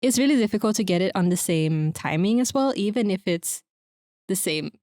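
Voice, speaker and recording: A soft-spoken woman co-hosting a podcast, talking casually in a quiet voice. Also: mid-range voice, animated, brisk, dry recording, studio quality. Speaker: podcast co-host. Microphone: close podcast microphone.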